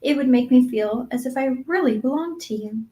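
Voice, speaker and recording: An earnest, dreamy orphan girl from a storybook, played by a woman. Her voice is high, and she speaks in breathless, emphatic gushes, imploring and excitable. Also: moderate pace, close to an everyday voice. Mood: wistful. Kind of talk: acted audiobook dialogue.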